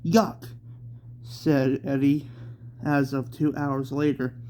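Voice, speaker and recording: Slow, halting amateur storyteller. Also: medium pitch, fairly even intonation, dark tone, a little hiss or hum. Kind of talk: amateur read-aloud narration with asides.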